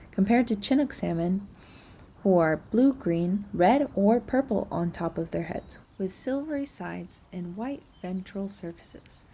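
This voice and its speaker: Mellow-voiced woman narrating, noisy recording. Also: mid-range voice. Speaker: student narrator of an audio nature tour. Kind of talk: scripted narration.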